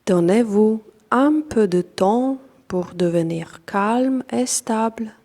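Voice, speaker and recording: A woman with a non-native accent in French leads a guided yoga nidra meditation as instructor, calm and deliberate, in short phrases with long pauses. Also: fairly high pitch.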